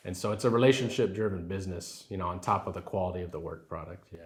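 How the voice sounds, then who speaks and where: A deep-voiced man, talking easily in an interview. He is affable and polite, fills his answers with "you know" and "yes sir," and keeps a relaxed conversational flow. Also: fast. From Rio Grande Valley, Texas. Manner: affable, many fillers, says yes sir often. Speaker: title company president.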